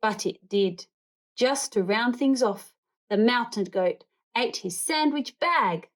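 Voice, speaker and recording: A woman reading a picture book aloud to children in an expressive, animated storytelling way. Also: fairly high pitch, takes it slowly. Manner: animated, acts out characters and animal noises.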